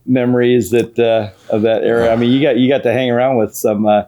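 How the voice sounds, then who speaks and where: A man's voice: mid-range voice, level tone, fairly quick, warm tone. A man moderating a casual conversational interview, attentively asking questions and dropping in short reactions.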